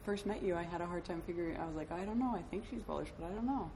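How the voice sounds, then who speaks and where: A woman's voice: on the low side, very fast. A woman hosting a podcast, playful and teasing in casual chat. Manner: playful, teasing, laughs often. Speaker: podcast host. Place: train station. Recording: noisy train station ambience.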